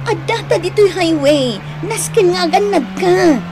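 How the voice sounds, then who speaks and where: A woman's voice: very high-pitched, animated, unhurried, background noise. A Filipino woman acting a wealthy, anxious, demanding wife in an Ilocano radio drama. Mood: anxious, agitated.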